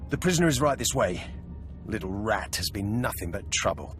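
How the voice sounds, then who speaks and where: A man's voice: fairly low pitch, animated, moderate pace, a bit bright, soft background music. An actor playing an officious, grumbling male prison guard in a video game, fussy and begrudgingly polite. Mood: grudging. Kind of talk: video game cutscene acting.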